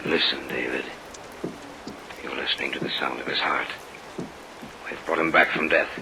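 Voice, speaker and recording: An actor playing an obsessed, commanding older scientist in an old radio drama, with an imperious, declamatory delivery. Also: male voice, extremely low pitch, fairly even intonation, moderate pace, gravelly, heavily acted. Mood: awed triumph. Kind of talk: old-time radio acting. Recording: vintage radio recording, heavy rain noise.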